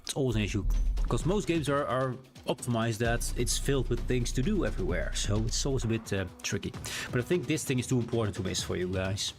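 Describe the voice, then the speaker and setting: A man's voice: mid-range voice, fast, faint music behind. A Dutch man with a Dutch accent, whose first language is Dutch, streaming a game live, chatting casually and reading the game's text aloud as he plays. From Netherlands. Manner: chatty, reads game text aloud, thinks out loud with restarts. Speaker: gaming streamer. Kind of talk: live gameplay commentary.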